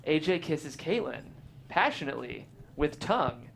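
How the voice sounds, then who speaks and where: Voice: medium pitch, big pitch swings, moderate pace, gravelly, a little hiss or hum. A reader narrating the stage directions in a script table read.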